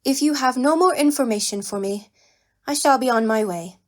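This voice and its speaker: A fairly high female voice acted by a woman for a proud young cat warrior in a fan audio story. She is guarded yet courteous, with formal, measured phrasing and steady composure. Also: lightly acted. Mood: cool, formal.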